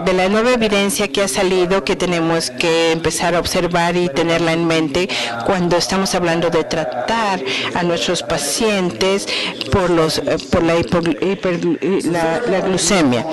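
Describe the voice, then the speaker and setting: High-voiced male interpreter, halting. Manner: halting, with frequent restarts and self-corrections. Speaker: interpreter for a lecture. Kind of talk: simultaneous interpretation of a medical conference lecture. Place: conference auditorium. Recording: audience noise in a conference hall.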